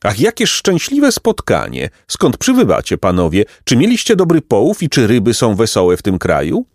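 A man voicing a vain, pompous, aristocratic pelican with a shrill squawking voice for a storybook. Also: very animated, normal speed, darkish tone, no echo, clean studio sound, close to an everyday voice. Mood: excited. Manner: pompous, haughty, florid exclamations. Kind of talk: storybook character voice.

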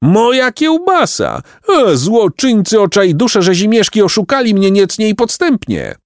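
A man voicing a stout townsman in a storybook, bellowing a melodramatic, indignant lament in drawn-out cries. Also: sits high, sing-song, no echo, clean studio sound, pitched above the performer's own voice, exaggerated voice. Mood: wailing outrage. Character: robbed stout townsman, wailing indignantly over his sausage.

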